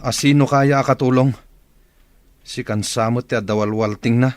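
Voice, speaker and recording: A man's voice: mid-range voice, steady tone, moderate pace, natural voice. An actor playing a stern, questioning man in a radio drama.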